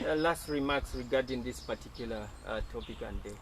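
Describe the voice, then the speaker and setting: A man's voice: mid-range voice, brisk. A man with a Zambian accent hosting a talk show and putting questions to a guest. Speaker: talk show interviewer and host. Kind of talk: interview questioning.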